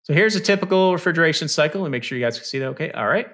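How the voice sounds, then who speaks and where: Bright-voiced man explaining HVAC. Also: on the high side, expressive, racing. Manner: conversational, explains step by step with frequent "you know" and "okay". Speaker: HVAC presenter. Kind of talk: technical presentation over slides. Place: small indoor room. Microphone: studio mic on stand with headphones, video call.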